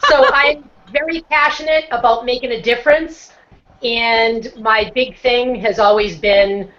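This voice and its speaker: A woman's voice: a little high, measured pace, a bit bright. Chatty middle-aged woman with a Boston accent. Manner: talkative, earnest, self-described talker. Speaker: guest, motivational speaker. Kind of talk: casual online chat. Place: home setup on a video call. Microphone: computer microphone on a video call. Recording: dull lo-fi video-call audio.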